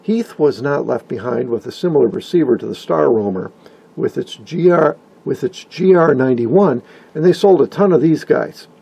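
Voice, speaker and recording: Gravelly, husky older man narrating. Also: mid-range voice, expressive, moderate pace, warm tone, dull audio. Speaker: hobbyist video narrator. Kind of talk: informal scripted narration about vintage radios.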